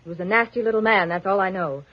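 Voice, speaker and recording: A woman's voice: medium pitch, fast talker, lightly acted. Woman playing a guarded femme fatale on muffled old radio. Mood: guarded, brusque. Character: guarded, brusque young femme fatale in trouble. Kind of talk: 1940s radio drama acting. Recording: dull vintage radio recording.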